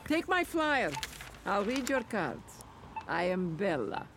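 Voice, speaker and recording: An actress playing a mysterious, insistent fortune teller in a theatrical, declamatory audio drama style. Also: female voice, medium pitch, very animated, slow pace, background noise. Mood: intrigued, probing. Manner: portentous, declamatory.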